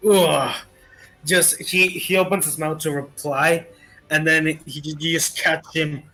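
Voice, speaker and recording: A man running a tabletop role-playing game as game master, making quick rules calls and describing the scene. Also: fairly high pitch, fairly even intonation, sharp tone, faint music behind, rough recording.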